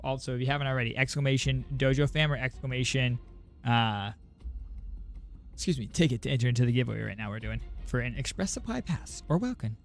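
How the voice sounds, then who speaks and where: A man's voice: medium pitch, lively intonation, fast, creaky, soft background music. A man hosting a game livestream, chatting informally and directly to his viewers. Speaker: game streamer and host. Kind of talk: casual livestream chat.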